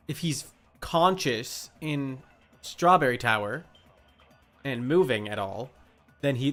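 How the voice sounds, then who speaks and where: A man's voice: medium pitch, fairly bright tone. A man in casual banter, guiding another player through a game.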